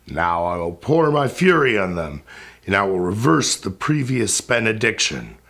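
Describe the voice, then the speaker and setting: A man's voice: fairly low pitch, very animated, unhurried, fairly bright tone, heavily acted. A man playing a wrathful, sorrowing ancient spirit king in a recited epic poem, speaking in a grand, commanding, declamatory way. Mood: cursing. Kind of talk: dramatic epic verse recitation.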